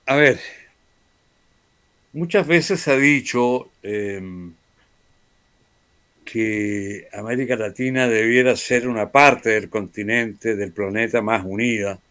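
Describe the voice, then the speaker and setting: A man hosting a talk show, speaking in a formal, measured way. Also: on the low side, fairly bright tone, clear, resonant tone. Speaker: host. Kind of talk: talk show hosting and reading sponsor ads.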